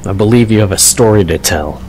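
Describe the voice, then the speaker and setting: A man playing a reserved, solemn vampire swordsman in an audio drama, with a quiet, terse delivery. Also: on the low side, brisk, slight background noise, natural voice, poor audio. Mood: reserved, low-key.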